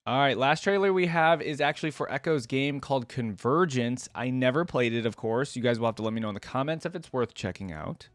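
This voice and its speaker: A man's voice: medium pitch, lively intonation, brisk, hardly any pauses, no echo. A man giving casual reaction commentary as host of a video.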